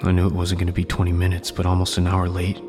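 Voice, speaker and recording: A man acting a guarded, brooding character in his late twenties in a radio drama, measured and hesitant, with a suspicious edge. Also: low voice, monotone, music in the background, lightly acted. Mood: brooding, calculating. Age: late 20s.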